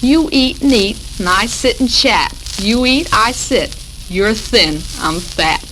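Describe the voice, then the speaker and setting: An American woman hosting a 1940s radio program for housewives, reading a script in a measured way. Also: a little high, animated. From United States. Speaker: radio show host. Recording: noisy, crackling 1940s radio recording.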